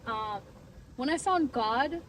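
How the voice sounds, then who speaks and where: A woman's voice: high-pitched, lively intonation, slow pace, recorded from a distance, slight background noise. A woman in her twenties from Bangladesh, talking earnestly and reflectively in a casual interview and laughing easily. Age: 20s. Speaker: client giving a testimonial.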